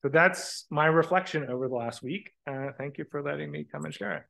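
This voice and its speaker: A man's voice: on the high side, gravelly, pushed and bright. Reflective man speaking from a podium. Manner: thoughtful, halting with restarts. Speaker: keynote speaker. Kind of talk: conference keynote talk. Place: lecture room with large windows. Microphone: podium microphone.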